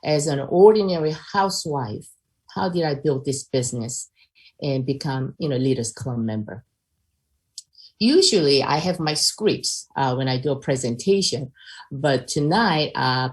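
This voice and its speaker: A woman's voice: rumbling low, animated, unhurried. An older woman from South Korea with a Korean accent, chatting through a personal testimony on a video call with many fillers. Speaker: presenter.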